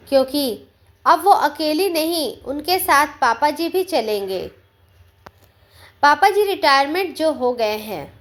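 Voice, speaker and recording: A woman narrator plays a calculating young wife and mother in a family story. Her voice is high and unhurried. She is persuasive and matter-of-fact. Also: fairly bright tone, exaggerated voice. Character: calculating married daughter-in-law, a working mother.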